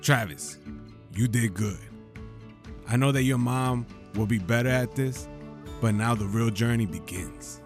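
A man playing a proud older grandfather in an audio drama, fatherly and earnest as he speaks. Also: mid-range voice. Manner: earnest, fatherly, measured advice. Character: proud older grandfather, warm-hearted, advice-giving father.

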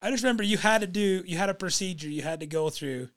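A man's voice: high voice, fast talker, fairly bright tone. A man in his late thirties co-hosting a casual podcast, laid-back, with lots of short agreeing replies. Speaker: podcast co-host. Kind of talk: casual chat.

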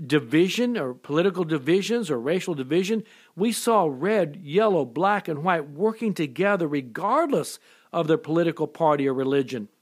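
Middle-aged guy preaching, kinda high voice, earnest, lots of restarts. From born in Japan, raised in America. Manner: earnest preaching, frequent restarts and repeated words. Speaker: pastor. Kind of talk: sermon.

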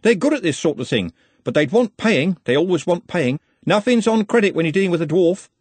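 A man's voice: high-pitched, animated, fairly quick, darkish tone, husky, no room sound, pitched above the performer's own voice. A man voicing a cheeky, streetwise working-class young man, chirpy and matey, in a fantasy audiobook.

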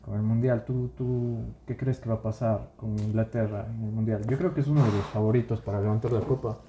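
A man's voice: on the low side, fairly quick, reverberant, background noise. A man from Ecuador co-hosting a podcast, chatting casually and leading the talk with questions. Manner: relaxed, asks questions and leads the talk. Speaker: podcast co-host. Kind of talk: casual chat.

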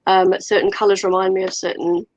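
A woman's voice: mid-range voice, level tone, a little fast, no echo. A woman from Wales, a guest in a casual chat, talking thoughtfully with hesitant fillers. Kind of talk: casual video-call chat.

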